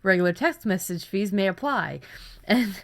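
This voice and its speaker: A woman's voice: mid-range voice, lively intonation, normal speed. A woman in her thirties co-hosting a casual writing chat, sharing story ideas in a playful, joking way. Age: 30s. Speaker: writer and co-host.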